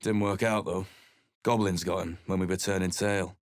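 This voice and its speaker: An actor playing a bitter, gruff male human adventurer who has lost his crew, speaking with a surly, coarse, cursing manner. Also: deep voice, steady tone, normal speed, no room sound, lightly acted. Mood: grim, surly. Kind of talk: video game voice acting. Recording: dry, close game studio recording.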